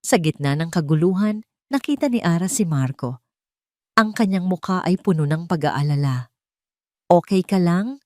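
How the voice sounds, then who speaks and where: Female narrator, low register, earnest and dramatic, for a romance audio story; dry, close sound. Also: expressive, normal speed, darkish tone, no echo.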